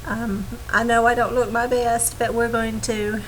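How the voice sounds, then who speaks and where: Lady kinda raspy from a cold, earnest team update, lots of ums, faint hiss behind her. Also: on the high side, little pitch movement, a little slow, poor audio. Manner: earnest and reassuring, many ums and restarts. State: recovering from a cold, slightly raspy. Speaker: team leader giving an update.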